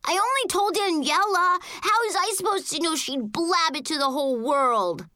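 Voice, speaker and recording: Woman acting a shrill, whiny little kindergarten boy. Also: lively intonation, moderate pace, sharp tone, no room sound, studio quality, full character voice. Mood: whiny defensive. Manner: screaming, pleading, whining.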